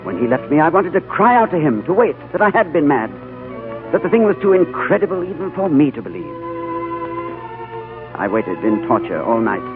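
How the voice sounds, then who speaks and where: A haunted, brooding adventurer. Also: male voice, fairly high pitch, sing-song, fairly quick. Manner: earnest, brooding narration. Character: weary male adventurer, haunted and longing. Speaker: leading man and narrator. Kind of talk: 1930s radio drama acting. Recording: tinny old radio broadcast with music.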